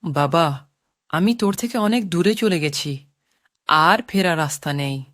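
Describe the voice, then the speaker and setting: Woman as tender cartoon ghost mother: slow, lowish voice, soothing and sorrowful. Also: animated, fairly bright tone, no echo, studio quality. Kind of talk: Bengali cartoon voice acting.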